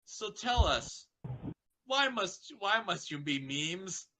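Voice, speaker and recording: Man acting a female pony fashionista, fussy, theatrical, bright, swooping intonation. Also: high-pitched, big pitch swings, takes it slowly, slight background noise, exaggerated voice, rough recording. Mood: dramatic questioning.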